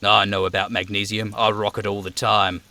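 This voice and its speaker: A man's voice: fairly low pitch, flat delivery, normal speed, bright, forceful tone. A man live-streaming a video game, switching between reading out dialogue and casual commentary.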